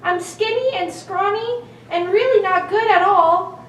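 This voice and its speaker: A woman's voice: extremely high pitch, measured pace. A woman playing a small young billy goat, timid and pleading in a sing-song way, in a children's puppet show. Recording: echoey room, distant mic, faint noise.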